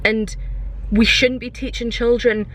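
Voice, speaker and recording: A woman's voice: fairly high pitch, little pitch movement, unhurried, a bit bright, poor audio. A young woman chatting casually for a vlog, airing her opinions in an exasperated, emphatic way and repeating herself. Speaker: vlogger.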